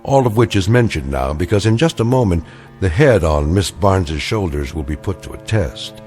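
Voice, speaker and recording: An actor playing a grave, knowing narrator of an eerie radio anthology, speaking in measured, ominous dramatic narration. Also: male voice, deep voice, expressive, a little mellow, faint music behind.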